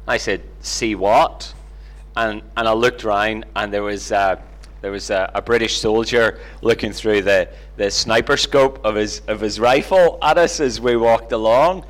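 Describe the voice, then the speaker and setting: A man's voice: mid-range voice, a bit bright, poor audio. A middle-aged man with a Northern Irish accent, telling personal stories in a conversational, self-deprecating way as an interview guest. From Northern Ireland, near Belfast. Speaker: interview guest, Bible teacher. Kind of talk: informal personal storytelling.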